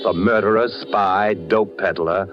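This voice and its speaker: Low-voiced, wary tough investigator. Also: male voice, fairly even intonation, measured pace, over music. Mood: grim narration. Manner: terse, dry, questioning. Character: tough, skeptical male investigator, plain-spoken and wary. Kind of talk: 1940s radio thriller acting. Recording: dull, roomy vintage radio recording.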